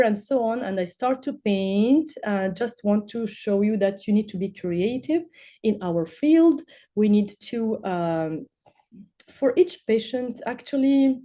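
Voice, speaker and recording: Mellow-voiced woman from Morocco, presenting. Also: mid-range voice. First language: not English. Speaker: doctor presenting at a medical webinar. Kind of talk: presentation and question answering.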